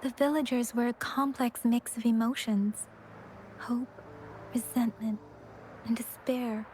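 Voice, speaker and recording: Gentle, slow-speaking young game heroine. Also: female voice, on the high side, slight background noise. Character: gentle, empathetic young woman who senses emotions, solemn. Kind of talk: video game story dialogue. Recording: music behind the voice.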